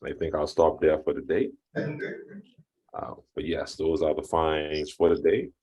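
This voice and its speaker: A man's voice: a bass voice, flat delivery, takes it slowly, warm tone, breathy edge. A man on a video call, handing out light-hearted fines to fellow club members in an easygoing way. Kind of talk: casual online meeting talk.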